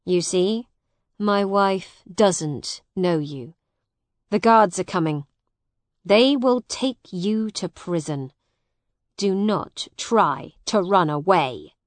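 A stern, cold-hearted brother from an audiobook , acted by a woman. He is curt and commanding, with very slow, deliberate words in a dry recording. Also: on the low side, dry recording. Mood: triumphant, commanding. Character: cold, stern, hostile brother disowning his sibling. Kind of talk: graded audiobook character dialogue.

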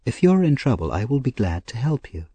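A man reading the part of a kind, gentle young lady in a storybook, speaking softly. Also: mid-range voice, big pitch swings, brisk, husky, no echo. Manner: soft and gentle.

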